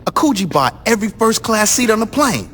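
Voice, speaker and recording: An actor playing a put-upon, mouthy gang lieutenant in a video game, with a complaining manner. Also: male voice, sits high, brisk, long reverb tail, slight background noise. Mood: peeved. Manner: animated, complaining. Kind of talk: video game cutscene acting.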